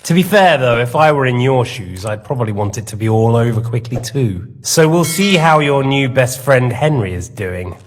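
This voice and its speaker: A man's voice: mid-range voice, animated, normal speed, hardly any pauses, a little hiss or hum, lightly acted. A man performs a villainous nobleman in a dramatic game scene. He taunts with false politeness and smug menace, his mid-range voice swinging expressively as he toys with his captives. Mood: mock-polite. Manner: mocking, theatrical, mock-polite menace. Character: sadistic, smug villainous lord interrogating prisoners.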